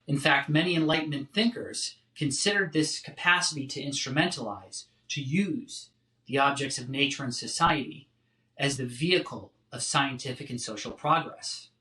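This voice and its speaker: A man delivering a scripted academic lecture in a measured, articulate, emphatic way, like a professor. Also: medium pitch, pushed and bright. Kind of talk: scripted philosophy lecture narration. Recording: roomy, echoing sound.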